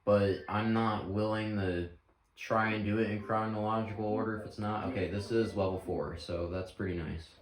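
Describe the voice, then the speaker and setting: Low-voiced man losing his voice. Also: fairly even intonation, normal speed, a little hiss or hum. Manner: rambling, self-correcting. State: losing his voice, clearing throat. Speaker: gaming channel host. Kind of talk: casual game commentary. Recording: echoey room with game music. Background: game music.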